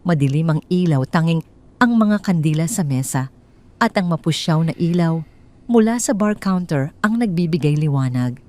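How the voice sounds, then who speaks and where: A woman narrating a scripted first-person drama in a measured, bitter, cold way. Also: deep voice, lively intonation, dark tone. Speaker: storyteller.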